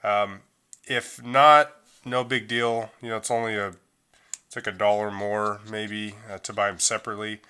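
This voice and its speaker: A middle-aged man talks through a phone repair as a hands-on tutorial. His voice sits a little low, but it sounds bright and forward. His delivery is casual and unpolished, full of fillers and restarts. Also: moderate pace, clean tone. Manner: relaxed, loose delivery with frequent fillers.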